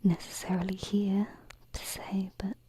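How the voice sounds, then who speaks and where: A woman playing a gentle, affectionate alien princess in an ASMR roleplay, speaking softly and reassuringly into a close binaural microphone. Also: mid-range voice, level tone, a little hiss or hum. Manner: soft, slow, reassuring, pausing. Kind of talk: ASMR roleplay, intimate whispering.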